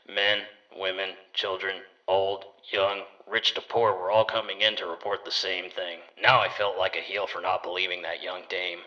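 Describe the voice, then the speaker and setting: A man playing a private detective, narrating his case in a measured, matter-of-fact noir radio-serial style. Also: deep voice, pushed and bright, far from the mic, muffled recording.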